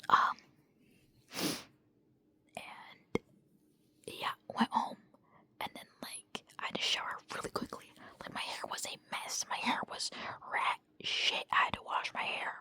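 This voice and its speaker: A woman's voice: a little slow, long pauses, pushed and bright. A young woman whispering a rambling late-night vlog into her phone at home, casual and full of swearing. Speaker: vlogger.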